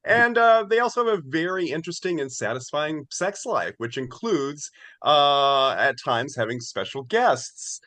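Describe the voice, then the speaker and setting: A man in his sixties talking casually as an interviewed playwright, telling things in a wordy, halting way. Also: a little high, lively intonation, bright, forceful tone, dry recording.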